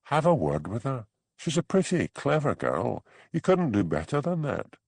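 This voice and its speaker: A man's voice: mid-range voice, sing-song, normal speed, a little mellow, husky, dry recording, voice pushed up. A man voicing a frank village woman for an audiobook. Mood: frank advice. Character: Angela, gossip-teased village woman, frank friend. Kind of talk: audiobook character dialogue.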